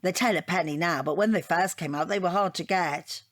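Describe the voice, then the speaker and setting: Woman playing middle-aged divorcee, British, lowish pitch, dry studio sound, chatty, self-justifying, wry, comic radio drama. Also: brisk, no echo, lightly acted. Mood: wry, reminiscing. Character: chatty, defensive middle-aged divorcee discussing her own portrait. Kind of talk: audio comedy drama acting.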